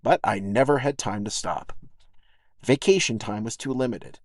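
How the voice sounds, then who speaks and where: A man's voice: mid-range voice, normal speed, dry recording. Man narrating a personal essay, close dry sound. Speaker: podcast host and essayist reading own essay.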